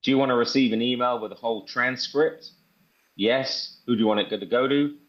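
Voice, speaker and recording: A man presenting a software demo in an informal, step-by-step tutorial style. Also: mid-range voice, steady tone, a little fast, muffled recording. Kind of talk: casual screen-share tutorial walkthrough.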